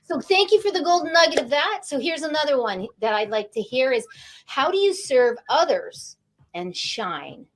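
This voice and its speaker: A woman's voice: high voice, sing-song, moderate pace. A woman hosting an online interview over a video call, gushing and enthusiastic, often repeating herself. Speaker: host. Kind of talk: upbeat online interview chat.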